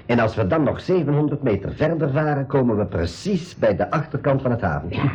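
An actor playing a precise, confident submarine navigator with a Flemish accent, giving clipped instructions in a radio drama. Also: male voice, mid-range voice, expressive, fairly quick, darkish tone, natural voice. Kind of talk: 1980s school radio drama acting. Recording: dull 1980s radio recording.

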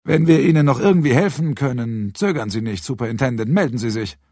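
A man's voice: medium pitch, lively intonation, quick pace, a little mellow, dry recording, studio quality. A man voicing an eager, genteel, talkative clinic patient in an audiobook, emphatic, polite and self-assured. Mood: courteous, assured. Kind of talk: audiobook character dialogue.

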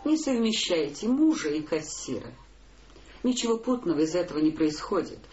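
An actress playing a capricious, aggrieved diva wife, mannered and sing-song, with drawn-out words in a radio play. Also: female voice, on the low side, sing-song. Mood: haughty complaint. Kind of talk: theatrical monologue, radio play. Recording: dull echoey recording over loud opera music.

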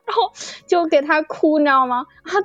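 Casting: effusive female guest in casual chat, very high voice, muffled lo-fi sound. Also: a little fast, a bit bright, no room sound. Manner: effusive, exclaiming, fangirlish. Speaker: audio drama producer and guest. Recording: dull lo-fi audio with music behind.

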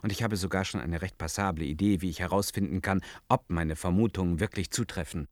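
An actor playing an earnest, analytical scientist in a science fiction radio play, speaking in a deliberate, precise, insistent manner. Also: male voice, sits low, natural voice. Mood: insistent, reasoning.

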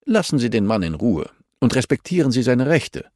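A man's voice: mid-range voice, very animated, moderate pace, darkish tone, no echo, clean studio sound, pitched above the performer's own voice, natural voice. A man voicing a stern, reluctant male judge in an audiobook, speaking in a firm, admonishing way.

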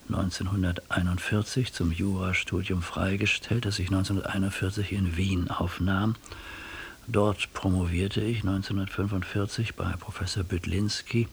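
Formal old judge, deep and creaky. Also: male voice, slight background noise. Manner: formal, stiff, dry. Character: proud, reserved 64-year-old judge, heart patient. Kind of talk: radio drama acting.

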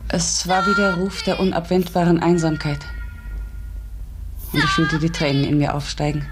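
An actress playing a reflective schoolteacher in a German radio drama, speaking in a measured, earnest, wondering way. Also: female voice, low-pitched, level tone, loud music behind the voice, lightly acted. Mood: wistful, reflective. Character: reflective female schoolteacher recounting a mysterious encounter. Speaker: narrator and lead actress.

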